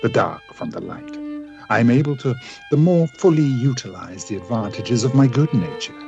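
A man playing a cultured, secretive gentleman doctor in a radio play, speaking formally and theatrically. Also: medium pitch, animated, normal speed, creaky, dull audio, over music. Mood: brooding, confiding. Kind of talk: radio play acting, journal monologue.

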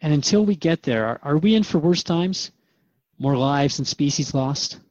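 Guy playing earnest questioning everyman in radio drama, kinda higher male voice, dry slightly muffled audio. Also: expressive, normal speed, darkish tone, no echo, close to an everyday voice. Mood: searching, concerned. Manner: hesitant, inquisitive, earnest. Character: earnest, questioning human man seeking hope for planet. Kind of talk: radio drama dialogue, conversational.

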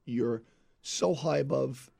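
A man hosting a podcast in casual chat. His voice is hoarse, and he talks in an easygoing, chatty way on a studio headset. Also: mid-range voice, slow pace, darkish tone. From Staten Island, New York. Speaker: podcast host. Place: radio studio.

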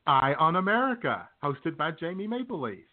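A man's voice: a little high, very animated, moderate pace. A man co-hosting a radio show, chatting casually about sports. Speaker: co-host of a comedy radio show. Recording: tinny phone-like narrow band.

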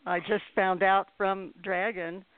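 A woman hosting a casual interview show, putting questions in a hesitant, halting way. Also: medium pitch, level tone, no room sound. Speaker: radio show host. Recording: dry, phone-like narrow-band audio.

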